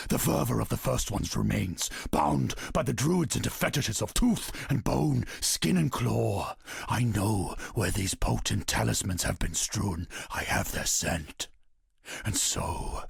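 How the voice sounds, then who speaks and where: A male actor playing a proud, grim warrior king turned wolf-god in a video game, declaiming solemnly with a gravelly, husky voice. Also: lowish voice, no room sound, clean studio sound, full character voice. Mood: grim, resolute. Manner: solemn, declamatory, deliberate oratory. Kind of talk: dramatic scripted game monologue.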